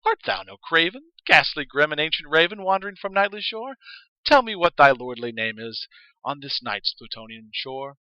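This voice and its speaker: A man's voice: on the high side, lively intonation, normal speed, dry recording, dull audio. A man playing a grieving, haunted gothic mourner with intense, declamatory delivery, as in a theatrical poetry reading. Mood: mock-imperious. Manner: intense, declamatory, swinging from hushed to crying out.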